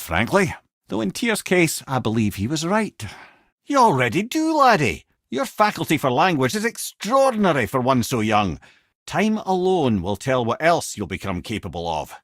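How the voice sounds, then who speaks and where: A man's voice: fairly low pitch, dry recording, clean studio sound. A man voicing a witty, worldly old sage for a video game, telling tales with a Scottish accent in an eloquent, avuncular way. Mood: grave storytelling, then earnest. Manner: wry, eloquent, avuncular, expressive. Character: witty old severed talking head, worldly storyteller sage. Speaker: companion and storyteller. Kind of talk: video game voice acting, storytelling.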